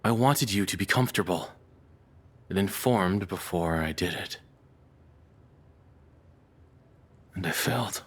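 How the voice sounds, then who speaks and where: A low, breathy male voice for an obsessive, unhinged captor acted by a man in an audio roleplay. He confides softly and haltingly, tender toward his beloved yet calmly menacing. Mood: apologetic, tender. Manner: soft, confessional, hesitant, calmly menacing. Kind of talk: intimate scripted audio roleplay monologue.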